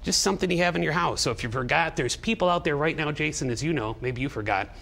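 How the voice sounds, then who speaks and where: A man's voice: a little high, fast talker, gravelly, a bit bright. A middle-aged man, chatting and joking as he gives step-by-step instructions as a guest on live television. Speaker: guest towel-folding demonstrator and seller. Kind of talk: casual live TV demonstration. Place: TV studio at a demonstration table.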